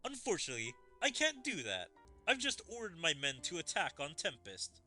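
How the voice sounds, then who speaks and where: A man voicing a stern, measured warrior king as he reads fantasy game dialogue aloud in character. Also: sits high, huge pitch swings, sharp tone, soft background music, exaggerated voice. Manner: formal, measured, commanding.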